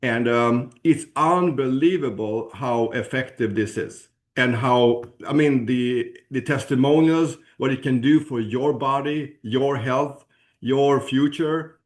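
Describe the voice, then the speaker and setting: Male webinar presenter, Swedish accent, mid-range voice, informal sales talk delivered haltingly, searching for words. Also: mid-range voice. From Sweden. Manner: halting, searching for words, with frequent throat clearing. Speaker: presenter at a business opportunity webinar.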